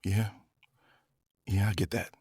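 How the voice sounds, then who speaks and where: An actor playing a gentle, grieving young man with casual, dry humor in a horror audio drama. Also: lowish voice, little pitch movement, slow, breathy edge, no room sound, exaggerated voice. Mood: sad, gentle. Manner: casual, wry.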